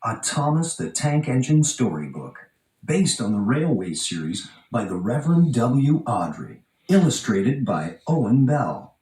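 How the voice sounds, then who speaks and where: A man narrates a children's storybook in a very animated, expressive way, stressing key phrases dramatically. His pitch is middling and moves around a lot. He sounds a little away from the microphone, in an echoey room. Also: a little slow. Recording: roomy, echoing, distant from mic.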